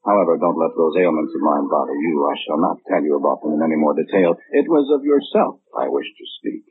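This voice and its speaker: Casting: a condescending, mannered know-it-all man, low and very expressive, vintage muffled radio play sound. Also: slight background noise. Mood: fussy, self-absorbed. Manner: pompous, deliberate, mannered phrasing with pointed pauses. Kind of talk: 1940s radio acting, first-person monologue. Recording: muffled, narrow-band 1940s radio recording.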